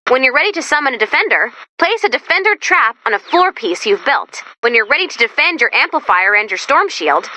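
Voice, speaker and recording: Perky, high-pitched robot assistant. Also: female voice, brisk, few pauses, full character voice. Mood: upbeat instructing. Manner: chirpy, expressive, dryly sarcastic. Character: perky, anxious robot assistant running a survival base. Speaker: mission guide and announcer. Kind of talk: animated video game voice acting.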